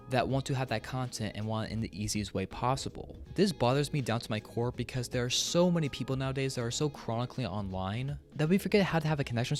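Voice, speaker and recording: Fast-talking man over background music. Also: mid-range voice. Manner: blunt, casual slang and swearing. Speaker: video essayist, host. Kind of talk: opinionated scripted commentary.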